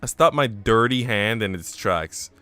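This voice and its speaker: A man with a low, smooth voice works as a game streamer, reading a visual novel aloud and chatting casually around it. His delivery is clean and crisp, with music playing behind him. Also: animated, a little slow, sharp tone. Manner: chatty asides between narrated passages.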